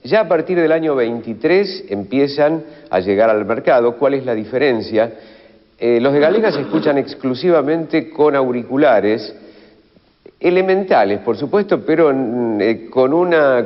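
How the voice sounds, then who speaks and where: An Argentine man explaining as an interviewed expert, halting and often rephrasing himself. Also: mid-range voice. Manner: halting, self-correcting. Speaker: radio history expert interviewee. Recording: dull, long reverberant room sound.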